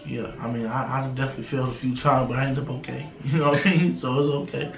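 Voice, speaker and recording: A man's voice: medium pitch, steady tone, fast, creaky. An American man in his thirties giving casual motivational talk as an interview guest, peppered with street slang and repeated check-in phrases. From United States. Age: 30s. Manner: street slang, frequent "you know what I'm saying". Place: indoors in a house. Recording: roomy, echoing home interview.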